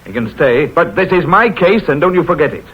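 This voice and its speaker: A gruff husky cop inspector, bossy, swings up and down a lot, muffled old radio. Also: male voice, fairly high pitch, animated, brisk. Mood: brusque. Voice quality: husky, brusque. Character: gruff blunt male police inspector. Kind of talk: 1940s radio acting. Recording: dull old radio recording.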